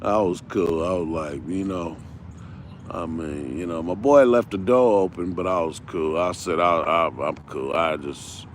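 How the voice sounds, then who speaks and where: Rambling fifty-year-old man, slow drawl. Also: low voice, slight background noise. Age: 50s. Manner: rambling, street slang, repeats himself. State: unwell, tired. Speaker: livestream host. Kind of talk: casual rambling livestream talk.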